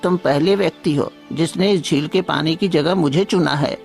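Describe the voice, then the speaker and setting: A man's voice: on the high side, moderate pace, gravelly, soft background music. A man voicing a wise, magical talking deer in a Hindi moral story, explaining things solemnly.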